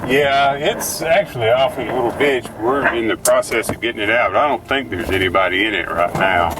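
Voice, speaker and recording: Police officer, matter-of-fact, noisy outdoors. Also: male voice, medium pitch, a little fast. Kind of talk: on-scene talk with a fellow officer and a phone call. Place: outdoors at a roadside creek. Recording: noisy field recording.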